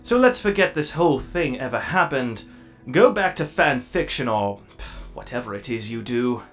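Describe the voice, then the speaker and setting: Casting: male actor for a sardonic, scheming cartoon robot prisoner, very expressive pitch, faint music behind. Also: normal speed, natural voice. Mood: dismissive. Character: haughty, scheming imprisoned robot villain. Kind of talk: animated comic dub acting.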